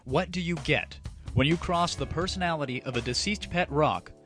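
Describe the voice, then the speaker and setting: A man's voice: on the high side, moderate pace, fairly bright tone. A man hosting an online gaming comedy show, delivering a scripted, crude and sarcastic rant in a theatrical, mock-grandiose way. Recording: music under the voice. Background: music.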